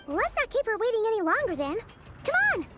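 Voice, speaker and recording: A woman voicing a tiny, excitable floating girl companion in a video game, with a squeaky childlike voice and an exclamatory manner. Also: a little fast, heavy background noise. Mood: amazed, eager. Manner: exclamatory, refers to self in third person. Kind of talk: animated video game acting.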